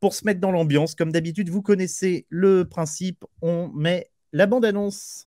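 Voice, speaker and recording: A man's voice: sits high, fairly even intonation, unhurried, a little mellow, no echo, studio quality. A man from Berry in France hosting a film review show in casual chat, joking and hesitant, often repeating words.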